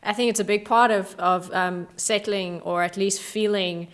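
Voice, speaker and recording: A woman hosting a casual interview, quick to agree, with short affirmations and easy laughter. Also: medium pitch, steady tone. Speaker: podcast host.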